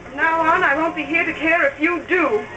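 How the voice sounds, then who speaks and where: An actress playing an exasperated young wife, curt and indignant, in an old-fashioned drama style. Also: female voice, high voice, brisk, sharp tone, highs cut off. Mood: fed up. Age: young adult. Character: exasperated young wife standing up to mother-in-law. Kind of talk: 1930s-style radio drama acting.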